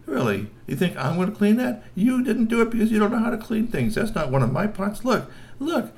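A man's voice: sits high, sing-song, fairly quick, a little mellow, voice pushed up. A man doing an indignant defiant adventurer. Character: defiant male adventurer facing down trolls.

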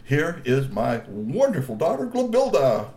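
A man's voice: mid-range voice, huge pitch swings, unhurried, mellow. A man voicing a proud, fussy male troll father who speaks formally.

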